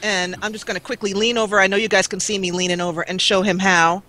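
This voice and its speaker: A woman's voice: mid-range voice, fairly even intonation, quick pace, sharp tone. A woman chatting casually and playfully as a gaming guest on an online call. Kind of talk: casual chat.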